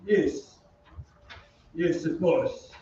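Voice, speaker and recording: An anxious old violinist acted by a man in a radio play, heard from across a very echoey stage. His voice is high and halting, forever deferring and apologizing. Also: mellow. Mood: dejected. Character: timid, anxious aging male opera violinist. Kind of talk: old-time radio drama acting. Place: theatre stage, live reading. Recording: echoey stage, distant mic, muffled, hiss.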